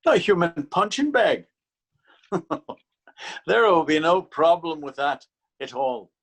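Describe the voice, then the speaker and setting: A man's voice: fairly high pitch, very animated, slow pace, fairly bright tone, full character voice. A man playing a proud, easily insulted police officer in a readers theater drama, stern and threatening. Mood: menacing. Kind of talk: readers theater stage acting.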